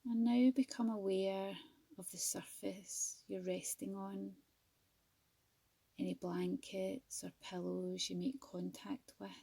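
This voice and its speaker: A woman leads a guided meditation at a very unhurried pace. Her voice is soft and husky, with a creaky edge. She sounds gentle and soothing, leaving measured pauses between phrases. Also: medium pitch, flat delivery, no echo. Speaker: yoga nidra teacher.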